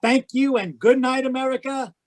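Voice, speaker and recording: A man's voice: very high-pitched, normal speed, no room sound. A man announcing in the style of a 1940s radio show host, giving a scripted sign-off. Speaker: announcer and cast member. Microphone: video call.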